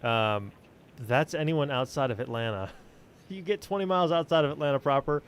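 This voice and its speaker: A man's voice: on the high side, brisk, slight background noise. A man hosting a casual, conversational podcast chat.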